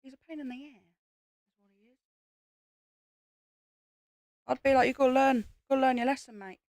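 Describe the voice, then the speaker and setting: A woman's voice: high-pitched, steady tone, normal speed, a little mellow, no echo. A woman co-hosting a livestream, teasing and mocking her partner in casual chat. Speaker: co-host.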